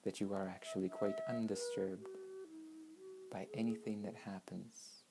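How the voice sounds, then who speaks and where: Very deep-voiced woman guiding meditation slowly. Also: flat delivery, vocal fry. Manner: measured, instructing, with long pauses between short phrases. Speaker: meditation guide. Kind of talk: guided meditation narration. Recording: voice over meditation music with flute.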